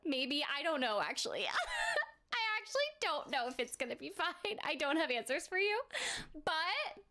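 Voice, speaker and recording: A woman's voice: high-pitched, huge pitch swings, normal speed, sharp tone. A woman streaming a game, reacting in a gushing, effusive way with frequent exclamations and laughs. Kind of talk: emotional live reaction while gaming.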